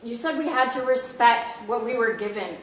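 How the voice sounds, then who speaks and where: Actress as a stern religious grandkid in a stage play, highish voice, super echoey, far from the mic. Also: female voice, normal speed, slight background noise, lightly acted. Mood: righteous, insistent. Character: rigid, devout grandchild, questioning and judgmental.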